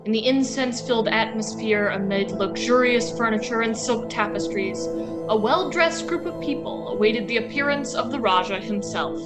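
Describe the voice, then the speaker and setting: A woman's voice: on the high side, normal speed, loud music behind the voice, low-quality recording. A woman reading scripted narration for an old-time radio drama.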